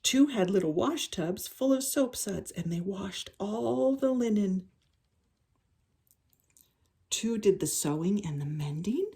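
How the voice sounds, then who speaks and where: An older woman with a husky, breathy voice reading a children's story aloud in an unhurried, expressive way. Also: mid-range voice, a little hiss or hum.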